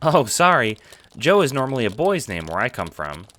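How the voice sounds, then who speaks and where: A man playing an excitable, naive young prankster kid in an audio drama, casual, sweary and often incredulous. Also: mid-range voice, moderate pace. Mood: puzzled.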